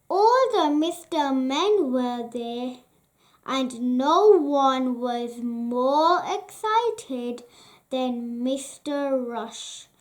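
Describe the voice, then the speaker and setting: Very slow, high-voiced female story reader. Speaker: children's storybook reader, channel host. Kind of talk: read-aloud children's story narration.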